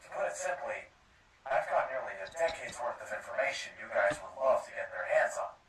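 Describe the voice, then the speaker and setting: A man's voice: medium pitch, expressive, brisk, fairly bright tone, close to an everyday voice. A man playing a shrewd, casual researcher in a scripted horror series, talking in a frank, wry, informal way. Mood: casual, dealing. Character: shrewd, casual male researcher negotiating a deal. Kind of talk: scripted analog horror dialogue. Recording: roomy, distant, faint noise.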